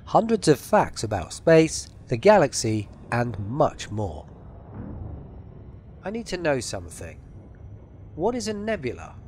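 A man's voice: mid-range voice, huge pitch swings, unhurried, long pauses, darkish tone, slight background noise. A man presenting a product demonstration, mixing scripted promotional narration with spoken commands. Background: music behind the voice.

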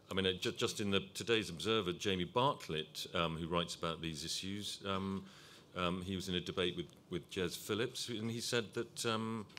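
A man's voice: sits low, fairly bright tone, a little hiss or hum. A man chairing a panel discussion, thoughtful and hesitant, with many restarts.

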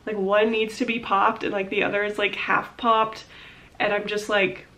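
A woman's voice: mid-range voice, level tone, moderate pace, pushed and bright. Young woman vlogger, stuffed-up and slightly breathless, casual rambling chat with lots of fillers, home office at a desk. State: congested, short of breath. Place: small home office, indoors.

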